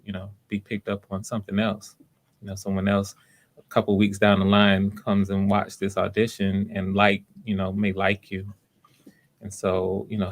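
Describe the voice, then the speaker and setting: A man hosting live voice auditions, talking casually and encouragingly to the people taking part. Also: lowish voice. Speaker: host running voice auditions. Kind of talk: casual livestream talk.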